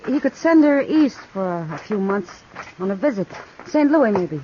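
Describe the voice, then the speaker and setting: A woman's voice: mid-range voice, expressive, moderate pace, mellow, natural voice. An actress playing a frank, concerned frontier woman in a 1950s radio western, direct and familiar in manner. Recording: muffled, noisy 1950s radio recording.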